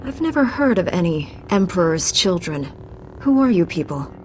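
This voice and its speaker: Composed, firm Jedi master. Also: female voice, on the low side, moderate pace, a little hiss or hum. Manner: calm, authoritative, direct. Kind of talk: scripted video game dramatic acting.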